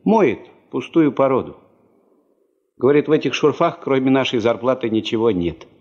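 A man's voice: medium pitch, lively intonation, normal speed, a little mellow, natural voice. An actor playing a chatty, wry working man, once a sailor and prospector, with folksy mock-grandiose joking in a 1970s Soviet radio play. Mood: bragging, folksy. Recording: dull 1970s radio drama tape.

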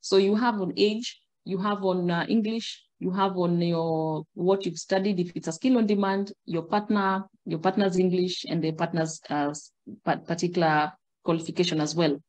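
A middle-aged Kenyan woman speaks as a guest on a video call. She explains things in a measured, steady way and gestures as she talks. Her voice sits a little low for a woman. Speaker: guest, migration agent. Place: indoor room.

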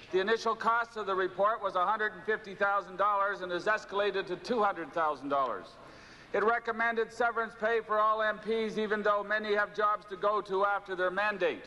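A man's voice: high voice, bright, forceful tone. A middle-aged man giving a combative speech in a parliamentary chamber, read from his notes. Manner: combative, pointed. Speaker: member of parliament speaking in the House. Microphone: far desk microphone. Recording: echoing chamber, from a distance.

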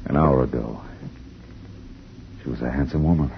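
A man's voice: extremely low pitch, moderate pace, vocal fry, background noise. An actor playing a wry, hard-boiled male private detective who narrates in a dry, laconic way, in a 1950s radio drama. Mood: sardonic. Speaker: narrator and lead. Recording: dull lo-fi 1950s radio broadcast with music.

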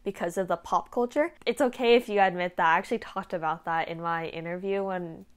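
A woman's voice: on the low side, brisk. A young woman in her late teens giving casual, frank advice to camera as a student vlogger. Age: late teens, high school student. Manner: informal, frank, peer-to-peer. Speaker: vlogger giving application tips. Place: small indoor room at home. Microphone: clip-on lapel mic.

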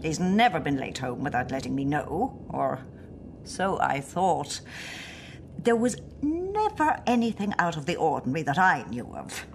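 A woman performing a polite, anxious Irish wife in middle age for a radio play. Her voice is low with lively swings of pitch, and she speaks hesitantly. There is noticeable noise on the recording. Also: measured pace, creaky, full character voice. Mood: nervous. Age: middle-aged. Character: nervous, worried middle-aged wife and client.

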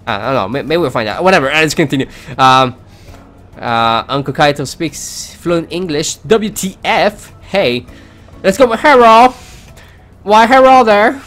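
A man's voice: mid-range voice, a bit bright. A man hosting a let's play, reading game text aloud with casual commentary. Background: game music.

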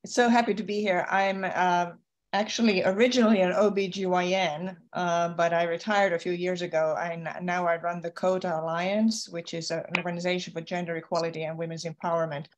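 A woman's voice: fairly low pitch, flat delivery, fairly quick. A woman, introducing herself on a video call, hesitant with many ums and restarts. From Finland. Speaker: community organization leader. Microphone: video call, laptop camera.

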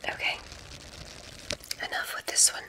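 A woman playing a kindly witch examiner in an ASMR roleplay, whispering in a deliberate, encouraging way with theatrical phrasing. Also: close to an everyday voice, rough recording. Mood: mysterious, soothing. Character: kindly witch examiner testing a magic school applicant. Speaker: ASMR performer.

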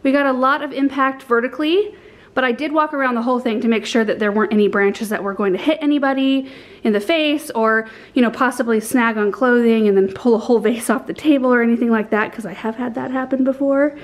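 A woman's voice: fairly high pitch, quick pace. Chatty, upbeat woman in her thirties. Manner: chatty, enthusiastic, explaining as she works. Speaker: gardening vlogger. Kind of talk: casual chat to camera. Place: home kitchen and garden. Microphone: handheld camera at arm's length.

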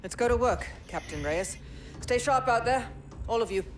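An actress playing a steady, businesslike middle-aged warship captain, terse and authoritative, in a military video game. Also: female voice, fairly high pitch, normal speed, background noise, lightly acted. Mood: businesslike, resolute. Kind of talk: cinematic military game acting.

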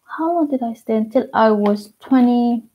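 A woman's voice: fairly high pitch, moderate pace, husky, highs cut off. A Filipino woman in her thirties with a Filipino accent, chatting casually on a live unboxing stream, counting snacks aloud and greeting viewers by name. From Philippines. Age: 30s. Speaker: vlogger. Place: living room at home, indoors. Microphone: wired earphone mic on webcam.

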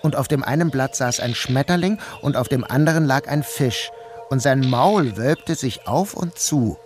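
An actor playing a troubled, dazed man who narrates his own day in a measured, literary radio drama style. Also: mid-range voice, music in the background, lightly acted. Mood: dazed, strained. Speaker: first-person narrator.

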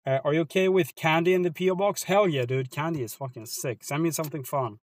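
A man's voice: a little high, fast, no echo. A man from Sweden with a Swedish accent, chatting casually with viewers on a live stream from inside his car, laid-back and slangy.

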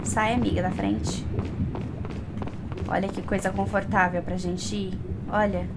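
A woman's voice: mid-range voice, flat delivery, measured pace, heavy background noise. A young woman with a Brazilian Portuguese accent giving playful, slangy game commentary, talking to the characters as she plays. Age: young adult. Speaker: gaming streamer. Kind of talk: casual let's-play commentary. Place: indoor room at home, webcam stream. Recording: loud game music behind voice. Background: loud game music.

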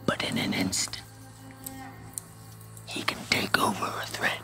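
A man's voice: unhurried, bright, forceful tone, whispered, faint music behind. An actor playing a grizzled, ominous old man telling a dramatic campfire tale in a comedy sketch.

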